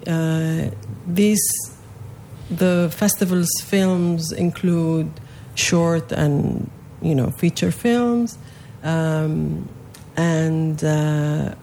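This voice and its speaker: A young adult woman from Palestine, a native Arabic speaker, answering interview questions about a festival she organizes, with many pauses and fillers. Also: deep voice, gravelly, dark tone, slight background noise. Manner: hesitant, many fillers and restarts.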